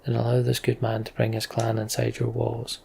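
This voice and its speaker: A man's voice: mid-range voice, completely flat delivery, fairly quick, creaky, dark tone, a little hiss or hum. A man voicing an earnest, plain-spoken clan chieftain in a fantasy game. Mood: firm, earnest.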